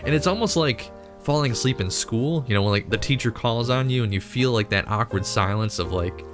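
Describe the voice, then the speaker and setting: A man's voice: mid-range voice, fairly quick, music in the background. A man hosting a video channel, chatting casually in a rambling, jokingly incredulous way with frequent self-interruptions. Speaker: YouTube cartoon channel host.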